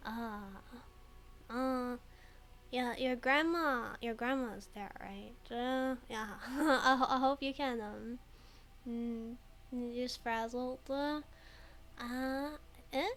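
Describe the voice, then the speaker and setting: A woman's voice: on the high side, steady tone, takes it slowly, a bit bright, a little hiss or hum. A woman commenting casually on a video game, giggling often and hesitating a lot. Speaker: game streamer. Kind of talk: casual let's play commentary.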